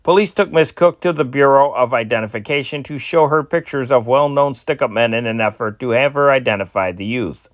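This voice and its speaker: A man's voice: mid-range voice, fairly quick, hardly any pauses, no room sound, clean studio sound. A man reading old newspaper stories aloud as an amateur news reader, stumbling and correcting himself now and then.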